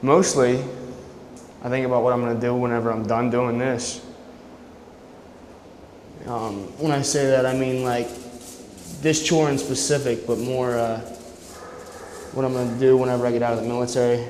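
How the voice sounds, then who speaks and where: A man's voice: medium pitch, little pitch movement, moderate pace, pauses often, a little mellow, rough recording. A young man giving an unscripted, informal and somewhat hesitant personal account in an interview. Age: young adult. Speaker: military policeman giving personal testimony. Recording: faint background noise and ambience.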